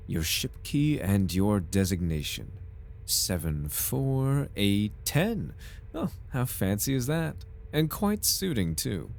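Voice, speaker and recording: A man's voice: lowish voice, full character voice. A man playing a suave, over-polite corporate recruiter in an ASMR roleplay, with a formal, unctuous salesman's patter. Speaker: voice actor in an audio roleplay. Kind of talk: ASMR roleplay, scripted in-character monologue.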